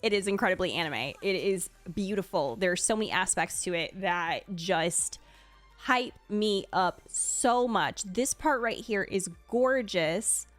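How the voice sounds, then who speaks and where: A woman's voice: mid-range voice, a little slow, faint music behind. A woman streamer giving casual, gushing commentary as she reacts to something she is a fan of. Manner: gushing, enthusiastic. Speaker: streamer and video creator.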